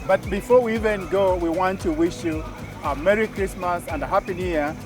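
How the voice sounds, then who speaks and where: A man's voice: sits high, a little fast. A man co-hosting a talk show, assertive with frequent fillers. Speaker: show co-host. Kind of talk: studio discussion. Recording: voice distant from the mic. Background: music.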